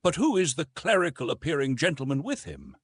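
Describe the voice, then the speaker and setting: Bumbling, polite older gentleman for a storybook played by a man, apologetic, high and animated. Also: a little fast, slightly rough, dry recording, studio quality, exaggerated voice. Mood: agreeable, curious. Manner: stammering, polite, flustered.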